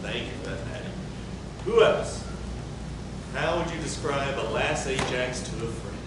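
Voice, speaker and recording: A man's voice: mid-range voice, moderate pace. A man informally hosting an audience talkback, encouraging people and thanking them as he goes. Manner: encouraging, repeats answers back and thanks speakers. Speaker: host of a talkback session. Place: large echoey room with audience. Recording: distant mic, very echoey room, loud noise.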